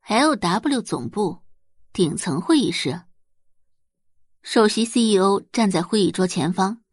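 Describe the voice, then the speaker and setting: A woman narrates romance fiction with animated, wide-ranging intonation. Her voice has a husky edge and is recorded close and dry, with a dramatic scripted storytelling delivery. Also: mid-range voice, a little slow, dry recording. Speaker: audiobook narrator.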